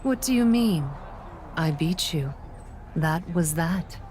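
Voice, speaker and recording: A woman's voice: on the low side, lively intonation, slow pace, darkish tone, a little hiss or hum. A woman playing an eerie, taunting female wrestler with a creepy, menacing manner in a video game cutscene. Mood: cool.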